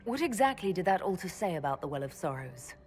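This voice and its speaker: A woman's voice: fairly low pitch, very animated, quick pace, darkish tone. An actress playing a resolute female elven leader who speaks in an earnest, direct way. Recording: long hall reverb. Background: faint music.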